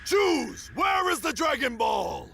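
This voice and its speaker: A man's voice: extremely high pitch, very animated, slow, vocal fry, shouting, noisy background, heavily acted. A man playing a showy, honorable game guardian who runs a contest with grand, formal phrasing. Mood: showy challenge.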